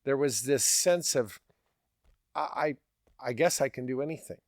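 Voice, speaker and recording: A man's voice: medium pitch, measured pace, no echo, clean studio sound. A middle-aged man hosting a podcast, encouraging his guest and summing up his points. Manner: affirming, frequent backchannel murmurs. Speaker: podcast host. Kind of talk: interviewer, encouraging.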